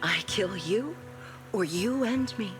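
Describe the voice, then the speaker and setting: An actress playing a haunted, vengeful ex-soldier woman in a video game, measured and brooding, coldly resigned as she speaks. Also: medium pitch, expressive, over music, low-quality recording. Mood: resigned, menacing. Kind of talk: scripted video game story acting.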